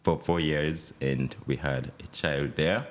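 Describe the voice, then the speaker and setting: A man in his thirties from Uganda with a Ugandan accent, a podcast guest talking in a relaxed, hesitant way with many fillers. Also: a bass voice, takes it slowly. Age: 30s. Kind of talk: casual interview chat.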